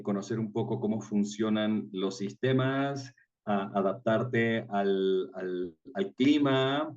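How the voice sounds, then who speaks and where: A man's voice: fairly low pitch, darkish tone. Distant-sounding Ecuadorian man in conversation. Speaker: interview guest, tour guide. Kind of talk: casual interview, personal anecdotes. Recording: distant mic, slightly muffled sound.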